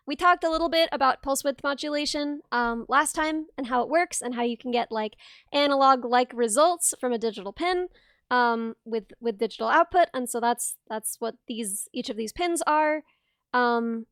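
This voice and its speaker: Young woman streamer, high voice, bubbly, dry close sound. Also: fairly bright tone, smooth, dry recording. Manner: bubbly, laughs often, explains step by step. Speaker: streamer hosting a soldering stream. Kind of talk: casual live-stream chat and explanation.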